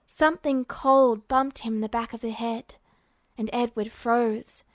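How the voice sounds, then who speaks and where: A woman's voice: a little high, fairly even intonation, unhurried, dry recording. A woman reading a scripted story aloud as narrator.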